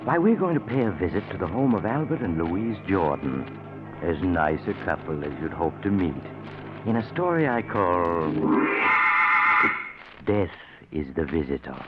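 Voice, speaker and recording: An actor playing a sly, theatrical, ominously genial storyteller who narrates a 1940s radio suspense show. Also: male voice, deep voice, sing-song, normal speed, loud music behind the voice. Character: mysterious male storyteller, ominous, slyly genial. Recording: noisy, muffled 1940s radio recording.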